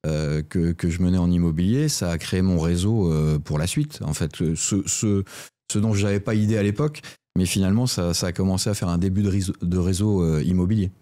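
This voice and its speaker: Interview guest: man, late fifties, French from Lorraine, very deep and soft-toned, conversational. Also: fairly quick. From Lorraine, France. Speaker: guest, real-estate entrepreneur.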